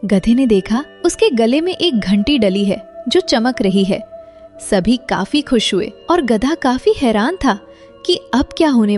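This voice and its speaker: High-voiced woman narrating a story. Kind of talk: scripted story narration in Hindi.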